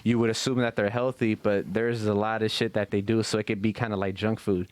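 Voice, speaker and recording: A man with a low, clean and smooth voice chats on a podcast. His speech is loose and slangy, with casual swearing and constant checking that the listener follows. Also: flat delivery, fairly quick. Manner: slangy, profane, frequent "you know what I mean". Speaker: podcast co-host.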